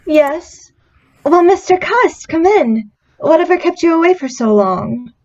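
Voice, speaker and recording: A woman playing a caring, gently fussing young lodging-house woman in an old-time radio drama. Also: very high voice, animated, a little slow, heavily acted. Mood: welcoming. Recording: slightly muffled, faintly noisy.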